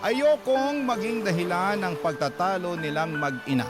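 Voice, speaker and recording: A man reading a letter as dramatic first-person narration, earnest and emotive, with measured pauses. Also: sits high. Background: prominent background music.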